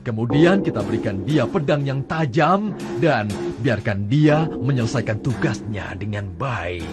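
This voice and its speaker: A scheming cartoon chieftain in a middle register, sly and calculating as he plots. His words are slow and wheedling, with clear music underneath. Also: mid-range voice, lively intonation. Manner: sly, plotting, ends with an evil laugh. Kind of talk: dubbed cartoon acting.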